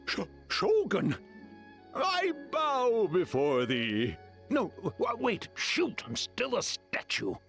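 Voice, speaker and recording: A man's voice: sits high, huge pitch swings, creaky, fairly bright tone, faint music behind, heavily acted. A man voicing a grumpy guardian statue spirit in an anime game, theatrical and fawning. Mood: flustered, fawning. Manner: theatrical, drawn-out.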